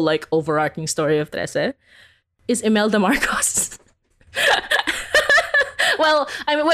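A woman speaking casually and informally as an interview guest, chatty and often amused. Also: medium pitch. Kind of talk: casual conversational interview answers.

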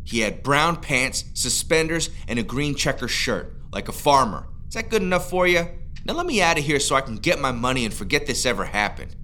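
A man's voice: medium pitch, very animated, brisk, bright, forceful tone, soft background music. An actor playing an agitated, wisecracking man in his thirties in an audio drama, brash and indignant, with old-fashioned slang. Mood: indignant, exasperated. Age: 30s.

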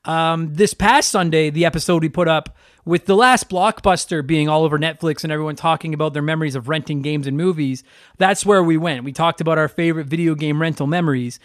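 A man's voice: on the high side, fairly even intonation, a little fast, no room sound, clean studio sound. Stuffy-nosed man, casual podcast host. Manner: rambling, profane, self-deprecating. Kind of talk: casual podcast intro and plugs.

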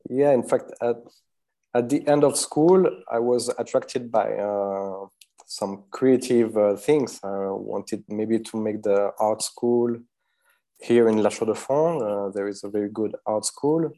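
A man from Switzerland, answering interview questions as a watchmaker guest. He speaks hesitantly, with many filler pauses and soft laughs. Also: on the low side.

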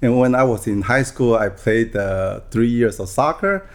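A man in his sixties from Taiwan, a guest on a podcast interview, talking in a soft, halting way with many restarts. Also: mid-range voice. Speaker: guest real estate broker.

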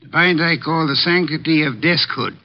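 A man's voice: on the high side, moderate pace, natural voice. An actor playing a pompous, commanding male detective in a 1950s radio drama, speaking in formal, pedantic sentences, on a muffled old broadcast recording. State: short of breath.

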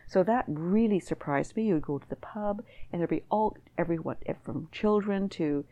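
A woman's voice: lowish voice, moderate pace, mellow, highs cut off. A middle-aged woman with a Canadian accent, speaking as an interview guest in a thoughtful, hesitant way with many restarts. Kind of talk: reflective conversational interview.

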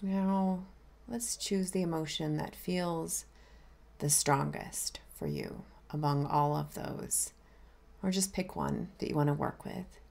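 A woman minister leading a guided meditation in a gentle, unhurried, inviting way. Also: low voice, big pitch swings, gravelly.

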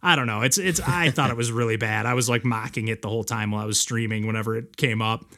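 A man's voice: mid-range voice, steady tone, fast talker, pushed and bright. A man hosting a podcast, chatting casually about video games in a rambling way peppered with filler words. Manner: rambling, frequent "like". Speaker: podcast host.

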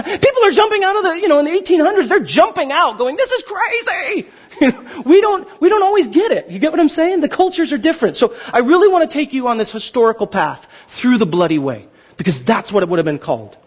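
A man delivers a conversational sermon as a pastor. His voice sits high and swings widely as he talks straight to his listeners in an informal way. The recording is somewhat muffled and lo-fi. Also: fast. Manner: informal, animated, rhetorical check-ins to listeners. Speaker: pastor preaching to a congregation. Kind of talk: conversational sermon with scripture reading.

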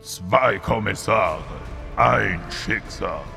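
Man as a gravelly mock-epic trailer announcer. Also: lowish voice, drawn-out words, full character voice. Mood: dramatic, grand. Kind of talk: scripted trailer narration for comic audio drama. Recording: loud music under voice.

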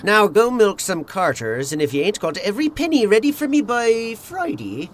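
High, swooping, crooked skinny bookie. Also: male voice, sing-song, normal speed. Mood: mocking menace. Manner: wisecracking, theatrical, wheedling menace. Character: skinny, slippery, sly crooked male bookie. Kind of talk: comedic noir audio play acting.

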